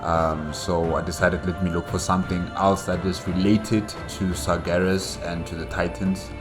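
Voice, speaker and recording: A man's voice: low-pitched, level tone, normal speed. A man hosting a casual solo reaction, explaining things in a hesitant, conversational way, with faint music behind. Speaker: reaction host.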